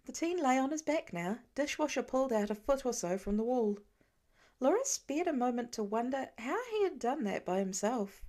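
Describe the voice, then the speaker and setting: A woman's voice: on the high side, lively intonation, moderate pace, a little mellow. A woman reading fiction aloud as a scripted narration, in the style of an amateur audiobook.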